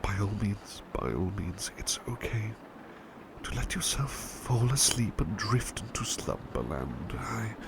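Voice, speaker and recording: A man playing a devoted servant turned talking plush toy in a soothing ASMR roleplay, soft-spoken, reassuring and politely formal, a little hesitant. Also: on the low side, very animated, a little slow, vocal fry, noisy background, heavily acted. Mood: sleepy, soothing.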